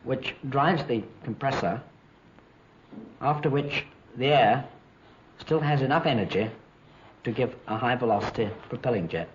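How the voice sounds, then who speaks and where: A man's voice: mid-range voice, a little slow, pauses often, natural voice. A man acting an earnest, modest British inventor in a 1950s docudrama, speaking in a clipped way. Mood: earnest, explanatory. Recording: dull, noisy vintage film soundtrack.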